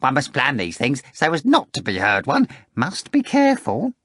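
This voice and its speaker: A man's voice: mid-range voice, moderate pace, no echo, studio quality, pitched above the performer's own voice. Man doing a fussy, fawning sidekick. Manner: whining, excitable, gushing. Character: fawning, fussy male retinue member, wheedling.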